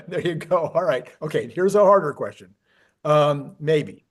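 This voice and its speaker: A man's voice: fairly high pitch, expressive, unhurried. A man hosting a casual interview, putting questions in an informal, conversational way. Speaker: interview host.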